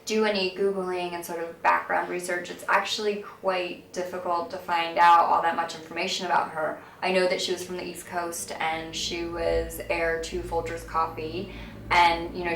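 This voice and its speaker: A woman's voice: fairly low pitch, monotone, moderate pace, a bit bright. A woman, an actress, earnestly describing the character she plays in a film. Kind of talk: interview about a film role. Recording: echoey room, mic at a distance, hiss.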